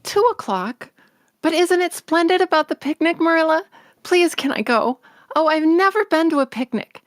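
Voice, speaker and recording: A woman playing an excitable, dreamy orphan girl in a storybook read-along, breathless and gushing. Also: extremely high pitch, normal speed, no room sound, clean studio sound. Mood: pleading. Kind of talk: acted audiobook read-along.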